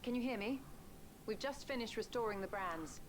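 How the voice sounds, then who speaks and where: Woman acting a high-voiced commanding fantasy leader. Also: lively intonation, normal speed, slight background noise, natural voice. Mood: composed. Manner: formal, archaic phrasing. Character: composed, commanding female leader in fantasy game. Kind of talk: scripted fantasy game acting. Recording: game audio under loud music.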